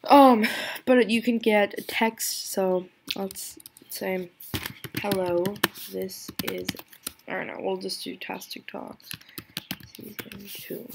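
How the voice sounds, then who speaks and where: A young woman giving a casual screen-recorded software tutorial, chatty and giggly, thinking aloud with a voice lower than usual today. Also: fairly even intonation, slow pace, creaky, noisy background. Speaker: tutorial creator.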